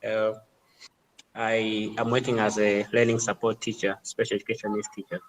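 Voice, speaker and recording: Low-voiced man from Malawi. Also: monotone, moderate pace, slight background noise, rough recording. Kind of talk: self-introduction.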